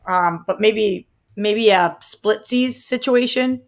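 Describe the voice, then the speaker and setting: A woman's voice: mid-range voice, slow. A woman co-hosting a movie podcast, sharing her opinions in casual conversation. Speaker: podcast co-host reviewing movies. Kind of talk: casual chat, opinionated discussion.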